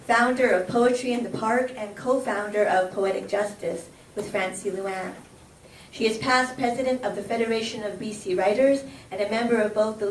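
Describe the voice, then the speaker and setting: A woman reading a prepared introduction aloud at a live event. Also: on the high side. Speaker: poet introducing the featured speaker. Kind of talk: prepared tribute and biography read aloud. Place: live event room, recorded from a distance. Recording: echoey, distant microphone, faint background noise.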